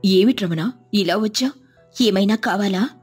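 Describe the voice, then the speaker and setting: A woman's voice: mid-range voice, unhurried, a little mellow, slightly rough, faint music behind. A woman voicing an innocent, trusting, devout cow, speaking earnestly and expressively in an acted moral tale. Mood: curious. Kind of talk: acted moral-story cartoon dialogue.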